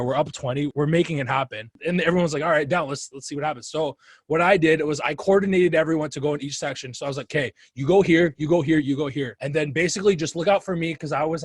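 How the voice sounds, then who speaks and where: Animated young male podcast host. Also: on the high side, no echo. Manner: animated storyteller, acts out his own loud calls. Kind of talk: casual podcast conversation.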